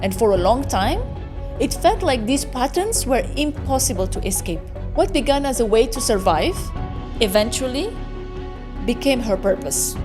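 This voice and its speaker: A woman host reading a scripted show introduction in a measured, dramatic storytelling way. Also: fairly high pitch. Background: background music.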